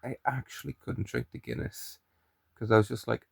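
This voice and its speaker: A man's voice: fairly low pitch, sing-song, moderate pace, gravelly, husky, dry recording, studio quality. A young man with a mild Irish accent, chatting and rambling to camera in a daily vlog, often restarting his sentences. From Ireland. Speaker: daily vlogger. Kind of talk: casual chat to camera.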